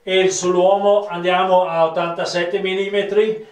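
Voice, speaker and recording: A man in his fifties with a South Tyrolean accent, presenting products in a halting, plain-spoken way, recorded from a distance in a roomy space. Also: high voice, little pitch movement. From South Tyrol, Italy. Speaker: ski maker presenting own products. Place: small wood-panelled rooms of an old farmhouse.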